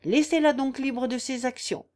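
A woman voicing a male village priest in a story, fair-minded and speaking with firm, measured authority. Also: high voice, steady tone, darkish tone, pitched above the performer's own voice, lightly acted. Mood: firm defence.

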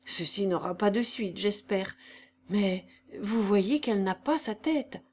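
A woman voicing a composed, pious gentleman who speaks in a measured, earnest way. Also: mid-range voice, expressive, slight background noise. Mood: grave.

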